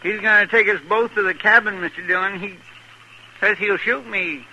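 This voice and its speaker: Casting: loyal frontier deputy, rural American drawl, bright scratchy edge, faintly noisy vintage radio recording. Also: male voice, sits high, steady tone, moderate pace, full character voice. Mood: anxious. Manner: drawling, homespun, deferential to his boss. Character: folksy, loyal, plain-spoken marshal's deputy sidekick. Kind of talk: 1950s western radio drama acting.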